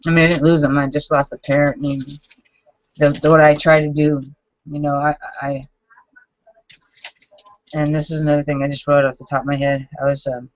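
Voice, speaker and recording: Fortyish guy reading his diary out loud, kinda high flat voice, stumbles and restarts a lot. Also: dry recording. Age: 40s. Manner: halting and self-critical, with frequent restarts. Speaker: vlogger. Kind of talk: reading his own journal entries aloud. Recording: dull lo-fi dry recording.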